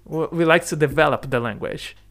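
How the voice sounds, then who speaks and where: Animated man, bright voice. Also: a little high, fairly quick. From Brazil. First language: Portuguese. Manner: animated, talks in restarts and asks "right?" often. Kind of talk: casual conversation. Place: lives in the UK.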